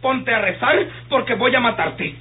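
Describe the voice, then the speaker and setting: A man's voice: very high voice, normal speed, slightly rough, full character voice. An actor playing a huffy, effeminate gunman, affected and coy, in old radio serial style. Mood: huffy, offended. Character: prissy, huffy, effeminate Mexican gunman, easily flattered. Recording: dull, hissy, humming vintage radio recording.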